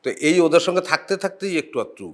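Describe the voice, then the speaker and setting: A middle-aged man, an actor guesting on a talk show, talking in a reflective, halting way, often pausing on "ah" and letting his phrases trail off softly. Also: fairly high pitch. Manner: reflective, halting with frequent "ah" pauses. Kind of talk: casual interview chat.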